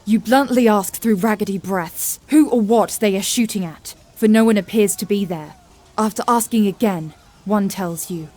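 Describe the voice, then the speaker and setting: A woman's voice: medium pitch, moderate pace, mellow. A woman narrating a scripted fantasy audio drama in a grave, vivid storytelling manner.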